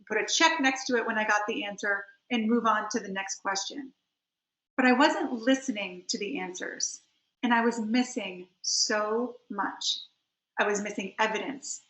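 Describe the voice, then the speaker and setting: High-voiced middle-aged woman presenting a webinar. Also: fairly bright tone. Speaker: webinar presenter, communication coach and trial attorney. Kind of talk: instructional webinar talk. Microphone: computer on a video call. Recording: roomy, slightly dull computer audio.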